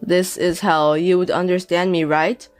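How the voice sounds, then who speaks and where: A woman voicing a 57-year-old indebted deadbeat father who pleads and pities himself, speaking slowly. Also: lowish voice, flat delivery, faint music behind, lightly acted. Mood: self-pitying. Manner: pleading, self-pitying, slow.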